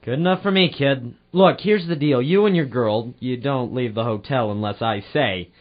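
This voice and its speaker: A man playing a wry, deadpan private eye narrating his case in 1940s radio noir style. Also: mid-range voice, moderate pace, natural voice. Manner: sardonic, deadpan. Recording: dull lo-fi audio with loud music.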